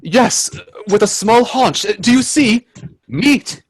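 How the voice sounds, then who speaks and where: A man playing an earnest, uneasy gentleman adventurer in a radio play, telling his tale with theatrical, hesitant suspense. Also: very high voice, lively intonation, slow, heavily acted. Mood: nervous, alarmed. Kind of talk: dramatic radio play narration and dialogue.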